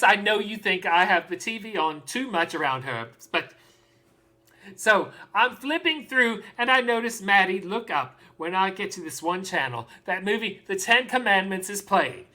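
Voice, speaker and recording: A woman playing a doting, excitable father of a small girl in a theatrical monologue, telling the story with dramatic pauses and drawn-out emphasis. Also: medium pitch.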